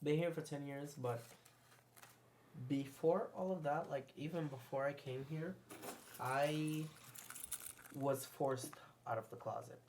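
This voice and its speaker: A man in his twenties, born in the Caribbean, telling a story on a casual podcast, halting and full of fillers. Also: on the high side, a little mellow, slight background noise. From Caribbean; born in Guyana, grew up in Antigua. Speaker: guest.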